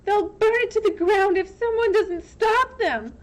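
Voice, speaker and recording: Woman acting a high, desperate, defiant schoolteacher. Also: a little slow. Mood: desperate, pleading. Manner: urgent, breathless pleading.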